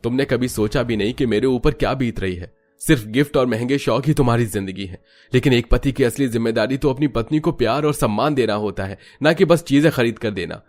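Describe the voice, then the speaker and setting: A man's voice: mid-range voice, a little fast, darkish tone, close to an everyday voice. Exasperated husband over music, voiced by a man. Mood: reproachful. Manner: reproachful, emphatic. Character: exasperated husband drained by wife's greed.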